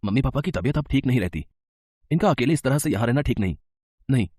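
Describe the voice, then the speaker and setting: A man voicing an earnest, emphatic young married son in an animated storybook tale. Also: mid-range voice, fast talker, husky, no room sound, clean studio sound. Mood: concerned.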